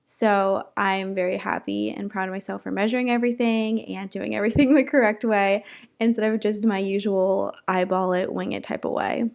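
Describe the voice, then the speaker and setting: Hoarse chatty woman in her twenties. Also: medium pitch, fairly even intonation, moderate pace. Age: 20s. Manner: chatty, self-deprecating, many ums. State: hoarse from a cold. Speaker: home DIY vlogger. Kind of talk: casual vlog chat. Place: indoors at home, kitchen.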